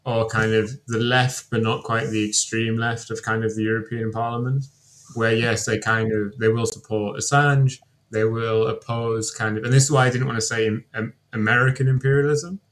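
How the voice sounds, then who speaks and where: A man's voice: medium pitch, level tone, moderate pace, fairly bright tone. A male guest writer in casual political discussion, hedging often with "kind of" fillers.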